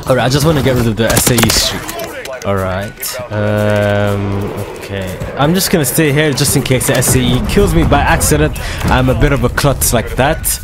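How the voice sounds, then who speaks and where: A man giving excitable, slangy commentary over his own shooter gameplay, his voice a little hoarse from a dry throat. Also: mid-range voice, moderate pace. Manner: excitable, slangy trash-talking banter. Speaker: gaming video creator. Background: loud background music and gunfire.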